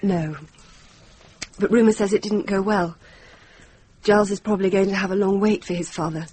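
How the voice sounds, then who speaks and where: An actress playing a protective young medieval gentlewoman, wife and mother, in a radio drama, tender but gently firm. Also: mid-range voice, steady tone, moderate pace, breathy edge, lightly acted. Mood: resigned.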